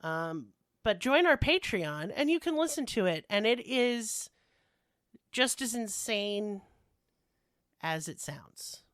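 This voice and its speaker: A woman's voice: mid-range voice, very animated, slow, pauses often, fairly bright tone. A woman hosting a podcast while sick, telling stories in a casual, chatty, joking way with slang and swearing. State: sick, coughing.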